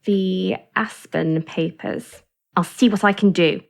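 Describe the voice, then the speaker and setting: An actress in an audio drama playing a kindly, brisk female carer, practical and gently firm. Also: on the low side, steady tone, creaky. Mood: kindly, hurried. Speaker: actor.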